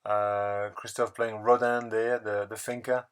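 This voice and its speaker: Low-voiced man narrating a casual vlog. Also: little pitch movement, clear, resonant tone. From France. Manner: halting, unscripted, rambling delivery. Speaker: record-collecting video blogger.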